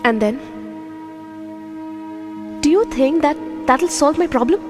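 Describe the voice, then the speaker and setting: A woman's voice: high-pitched, expressive, takes it slowly, big-room sound, music in the background. An actress as a spirited young Indian woman. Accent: Indian English. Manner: direct, spirited. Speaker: young woman in a radio play. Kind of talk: audio drama acting.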